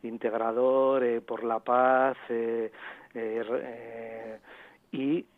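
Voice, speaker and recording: A man with an accent from Spain answering as an author in a radio interview, explaining in a halting, repetitive and digressive way. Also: medium pitch, little pitch movement, no room sound, narrow, tinny audio. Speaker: author interviewed about own book.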